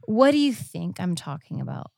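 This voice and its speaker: A woman's voice: fairly low pitch, big pitch swings, normal speed, vocal fry, a little mellow, dry recording, clean studio sound. An American woman hosting a podcast from home in a casual, conversational style. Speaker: podcast host. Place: indoors at home.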